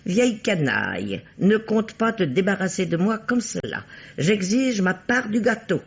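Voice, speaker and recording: Older aunt: brisk, authoritative, very animated pitch, muffled radio-drama sound. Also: female voice, gravelly, breathy edge, heavily acted. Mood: conspiratorial. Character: bossy, brisk older aunt, a hatmaker, scolding and composed. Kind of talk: vintage French radio mystery acting.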